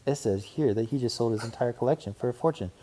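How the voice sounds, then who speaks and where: A man reading a children's storybook, voicing a calm, thoughtful mother bear in a mild, measured manner. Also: medium pitch, voice pushed up, lightly acted. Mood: mild, interested. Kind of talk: children's storybook read aloud.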